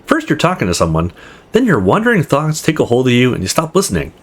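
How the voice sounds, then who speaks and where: Visual novel role: polite young woman, earnest, gently chiding, slightly rough voice played by a man. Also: medium pitch, wildly swooping pitch, quick pace. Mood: scolding. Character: young female friend, polite, gently nervous and teasing.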